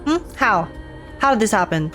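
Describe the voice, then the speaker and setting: A woman streaming a video game, reacting to the story in casual, animated, expressive commentary and talking back to it. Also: mid-range voice, moderate pace. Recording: game music behind the voice. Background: video game music.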